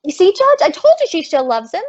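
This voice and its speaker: A woman's voice: very high-pitched, huge pitch swings, brisk, dull audio, heavily acted. A woman playing a brassy, big-hearted, wisecracking working woman in an old-time radio comedy. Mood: urgent.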